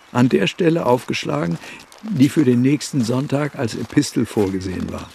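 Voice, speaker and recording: An actor playing a brooding man looking into his brother's past, speaking in a measured, reflective, literary way in a radio play. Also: fairly high pitch, creaky, a little mellow, slight background noise, heavily acted. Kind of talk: radio play narration and dialogue.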